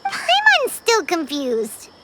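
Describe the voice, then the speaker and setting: Tiny excitable game fairy, extremely high childlike voice, swooping wildly, music behind. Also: female voice, slow pace, heavy background noise, exaggerated voice. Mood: confused. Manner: speaks of self in third person, exclaiming. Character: tiny floating childlike fairy companion, excitable and easily confused. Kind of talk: video game voice acting.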